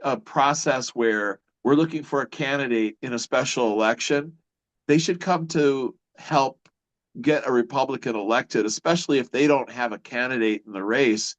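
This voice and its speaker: Rambling man interviewing. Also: medium pitch. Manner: rambling, halting, many restarts. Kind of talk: casual political conversation.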